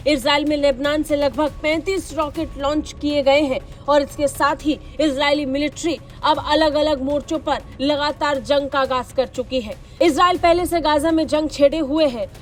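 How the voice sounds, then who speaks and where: A woman reading a scripted news report as a voice-over in a formal, dramatic delivery. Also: high voice. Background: music under the voice.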